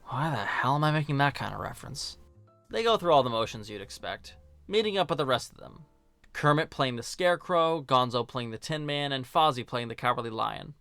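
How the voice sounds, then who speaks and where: Man, film review voice-over, sharp bright tone, colloquial sarcasm, dry close recording. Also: mid-range voice, animated, brisk, creaky, smooth, no room sound, soft background music. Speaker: video host and film reviewer. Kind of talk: scripted video essay narration, casual and joking.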